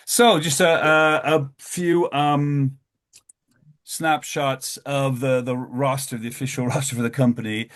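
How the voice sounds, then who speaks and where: A man's voice: fairly even intonation, unhurried, a bit bright. Hesitant man giving a history lecture. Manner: hesitant, many fillers and restarts; sudden pitch lifts on new points.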